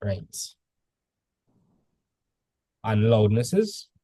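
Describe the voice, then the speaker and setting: A man's voice: on the low side, very animated, drawn-out words, dark tone, no room sound. A male teacher tutoring students in a casual session, full of "like" fillers, quizzing them with questions as he goes.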